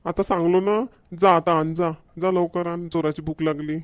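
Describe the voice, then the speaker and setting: Man acting a high-pitched nagging Varhadi Marathi husband. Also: steady tone, moderate pace, no echo, lightly acted. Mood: impatient. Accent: Varhadi Marathi from Vidarbha. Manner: nagging, complaining, repetitive. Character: grumbling, demanding village husband, fussy about food. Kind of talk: comedy cartoon dialogue.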